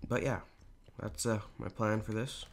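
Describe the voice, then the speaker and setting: Raspy teen guy rambling over minecraft, low gravelly voice, keyboard clicking behind. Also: fairly even intonation, unhurried, noisy background. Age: teens. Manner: rambling, chatty, lots of fillers. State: hoarse, clearing throat. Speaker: gaming YouTuber. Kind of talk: casual Minecraft commentary. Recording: home recording with clear keyboard clicks.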